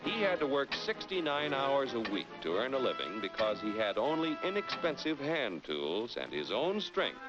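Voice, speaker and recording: A polished, instructive American narrator from a vintage educational cartoon. His mid-pitched delivery is animated and persuasive, heard over loud music on a dull old film soundtrack. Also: normal speed, few pauses. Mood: earnest, persuasive.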